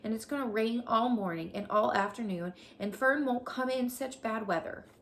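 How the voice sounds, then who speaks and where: A young storybook pig voiced by a woman, plaintive and lonely. The voice is lifted in pitch and pushed a bit louder, with a complaining, childlike gloom. There is some light noise on the recording. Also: normal speed. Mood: gloomy, lonely.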